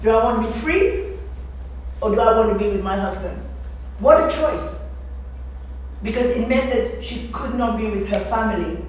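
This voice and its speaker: A woman giving an explanatory literary talk, emphatic and repeating phrases for effect. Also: mid-range voice. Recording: echoey room, distant mic, faint noise.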